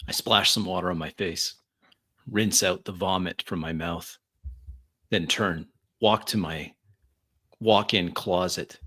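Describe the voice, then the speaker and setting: Slow, low-voiced man narrating dramatically. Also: fairly even intonation, sharp tone. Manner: halting, deliberate, brooding phrases. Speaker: roleplay player. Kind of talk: first-person dramatic roleplay narration.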